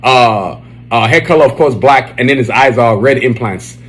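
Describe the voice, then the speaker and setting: A man with a Nigerian accent hosting his own podcast, talking loosely and excitedly with lots of slang fillers. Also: mid-range voice, moderate pace, low-quality recording. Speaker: podcast host. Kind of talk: casual solo podcast chat. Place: Dallas, Texas area.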